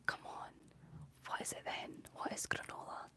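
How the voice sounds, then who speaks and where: A Scottish woman speaking in a soft, breathy whisper, chatting unhurriedly as a livestream host in an ASMR style. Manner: unhurried, reading out and answering viewer comments.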